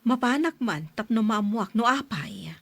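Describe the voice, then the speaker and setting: A woman's voice: fairly high pitch, expressive, unhurried, no room sound. A woman playing an obliging, inquisitive worker's wife and mother in an audio drama, with a deferential manner.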